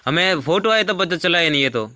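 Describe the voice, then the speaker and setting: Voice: mid-range voice, animated, a little fast, sharp tone. A person asking questions hesitantly in a phone conversation. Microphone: phone call.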